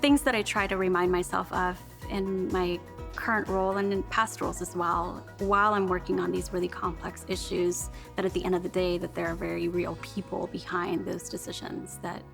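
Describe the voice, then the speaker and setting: A woman's voice: fairly low pitch, flat delivery, moderate pace, vocal fry, a bit bright, faint music behind. A woman in her thirties from California's Central Valley, speaking earnestly and reflectively in an interview about her public policy career. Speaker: award recipient, public policy staffer. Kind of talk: recorded interview testimonial.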